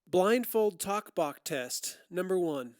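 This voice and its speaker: Male gear-demo presenter: slightly high, clean resonant voice, casual explanatory delivery, self-correcting as he goes. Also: animated, slow pace, darkish tone. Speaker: presenter of a music gear comparison.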